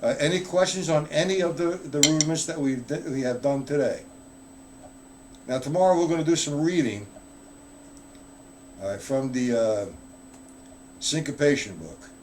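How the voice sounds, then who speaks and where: Relaxed man teaching drums live. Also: on the high side, normal speed, pauses often, background noise. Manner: loose, conversational, answers viewers in chat. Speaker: drum teacher. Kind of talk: live-streamed drum lesson.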